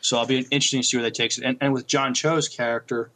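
Male co-host, mid-range voice, casual discussion, halting delivery with repeated words. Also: mid-range voice, steady tone, pushed and bright, low-quality recording. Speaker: podcast co-host. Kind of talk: casual review chat about TV shows.

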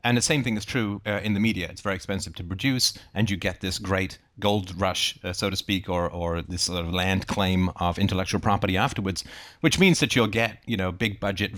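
A man's voice: low-pitched, brisk, fairly bright tone. A man hosting a book club discussion, talking in a conversational, discursive and explanatory way.

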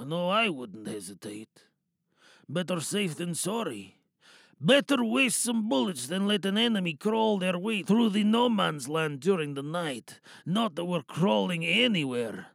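Male actor as exhausted British soldier for audio drama, gravelly, expressive, bitter and world-weary. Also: high voice, no room sound, clean studio sound, heavily acted. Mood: wry, musing. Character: weary, battle-hardened British soldier, whispering to a comrade.

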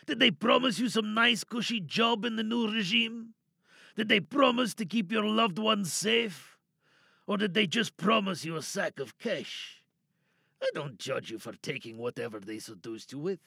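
A man playing a cynical, wary captive scientist in an audio drama monologue, sardonic and probing, bargaining with quiet menace. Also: high voice, expressive, a little slow, vocal fry, breathy edge, no room sound, studio quality, full character voice. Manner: sardonic, probing, rhetorical questions.

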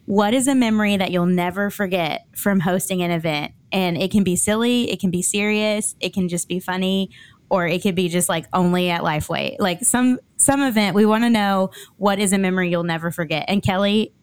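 Smooth-voiced woman hosting a podcast. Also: mid-range voice, a little fast. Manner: friendly, upbeat interviewer. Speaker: podcast host.

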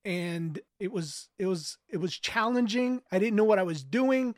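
High-voiced male storyteller, very dry sound. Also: lively intonation, normal speed, dry recording. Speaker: author narrating his story, interviewee. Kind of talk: audiobook narration and reflective interview answers.

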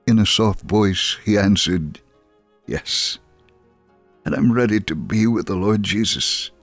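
Male story narrator for a scripted testimony, lower register, soft music bed. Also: no room sound, clean studio sound.

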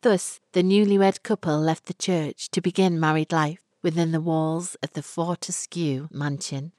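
A woman reads scripted fiction as an audiobook narrator. Her voice is low and her pace slow, with deliberate, dramatic phrasing. The recording is close and dry, free of room sound. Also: fairly even intonation, darkish tone, no room sound.

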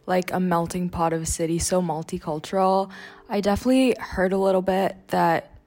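A woman's voice: lowish voice, fairly even intonation, fairly quick, mellow. A young woman from Vancouver with a Canadian accent, hosting a student podcast in a conversational way. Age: young adult.

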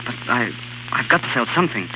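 An actor playing a weary, desperate and proud young sculptor in a 1930s radio drama, speaking haltingly. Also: male voice, medium pitch, big pitch swings, lightly acted. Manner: hesitant, halting, repeating words. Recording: dull, hissy 1930s radio broadcast.

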